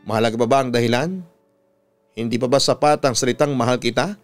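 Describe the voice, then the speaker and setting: A man's voice: mid-range voice, fast talker, soft background music, close to an everyday voice. A Filipino man voicing an earnest, devoted suitor, insistent and tender, as in a radio drama. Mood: urgent.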